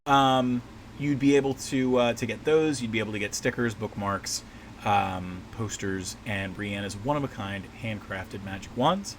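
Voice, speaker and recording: A man with a low, slightly scratchy voice rambles casually through a livestream, trailing off and restarting his sentences. A steady air-conditioner hum sits clearly under his voice. He sounds like he is at a small desk at home. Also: normal speed. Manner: rambling, relaxed, many fillers and restarts. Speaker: livestream host and map maker. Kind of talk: casual livestream chat while working.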